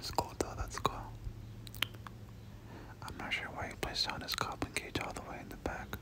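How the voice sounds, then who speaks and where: A person doing whispered ASMR game commentary, speaking casually in gamer slang and often repeating short phrases. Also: moderate pace. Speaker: gameplay commentator.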